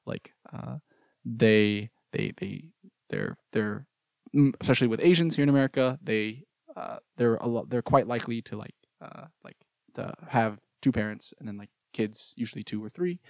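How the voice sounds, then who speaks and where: A young man hosts a relaxed podcast and feels his way through his questions, stopping and restarting often. His voice has an average pitch for a man. The sound is dry and close, with no room echo. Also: moderate pace, vocal fry. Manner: hesitant, many restarts while forming questions. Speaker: podcast host. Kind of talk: casual podcast conversation.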